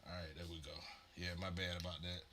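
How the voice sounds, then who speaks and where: Laid-back man with a bright, lowish voice. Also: flat delivery, fast, a little hiss or hum. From Virginia, USA. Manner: laid-back, rambling, many fillers and "man". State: congested, getting over a cold. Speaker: livestream host building modular synth patches. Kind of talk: casual live-stream chat.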